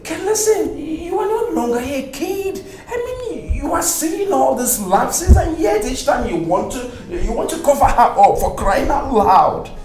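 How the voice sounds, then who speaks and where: An actor playing a blunt friend who lectures with exasperation. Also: male voice, very high voice, expressive, unhurried, few pauses, a little mellow, faint music behind. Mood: lecturing. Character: blunt friend giving advice. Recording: echoey room.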